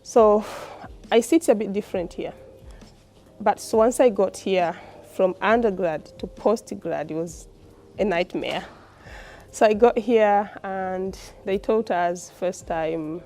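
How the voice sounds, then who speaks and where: Mellow-voiced Tanzanian woman speaking informally. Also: mid-range voice, a little slow. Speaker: postgraduate student. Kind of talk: informal interview testimonial.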